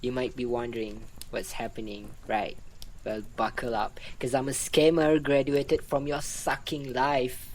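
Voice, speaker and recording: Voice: medium pitch, flat delivery, measured pace, natural voice, low-quality recording. An amateur radio drama performer playing a fake psychiatrist, a smug, callous scammer who taunts flippantly and drags out words. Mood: gloating. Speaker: group therapy leader.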